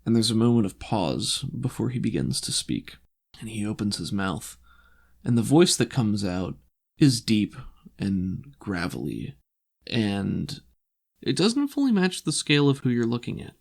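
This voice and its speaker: A man narrating a tabletop game as game master, in a measured, descriptive storytelling manner. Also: on the low side, big pitch swings, gravelly, a little mellow.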